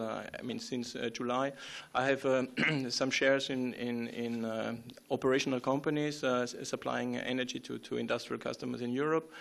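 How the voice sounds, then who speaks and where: A man giving a conference talk, halting with many fillers and restarts and often clearing his throat. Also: medium pitch. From Austria. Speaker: conference speaker.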